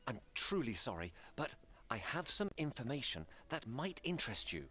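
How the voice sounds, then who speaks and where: A man's voice: mid-range voice, sing-song, normal speed, bright, forceful tone, slight background noise. A man playing a polite, confiding political intriguer in a fantasy video game. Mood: courteous, confiding. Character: smooth-talking, courteous male council intriguer.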